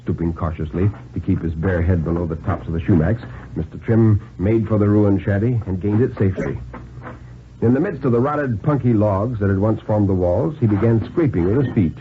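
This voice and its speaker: A man giving a dramatic, deliberate reading of a thriller story in the style of 1950s radio. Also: fairly low pitch, a little hiss or hum. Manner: deliberate, dramatic, expressive phrasing. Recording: narrow-band vintage 1950s radio recording.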